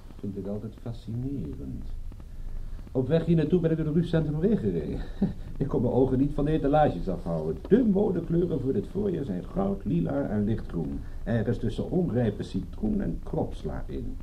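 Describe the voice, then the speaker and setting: An actor playing a cagey, dryly polite private detective in a radio play. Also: male voice, mid-range voice, sing-song, normal speed, vocal fry. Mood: dry, evasive. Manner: dry, evasive, polite. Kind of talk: radio drama dialogue. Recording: muffled, noisy radio play recording.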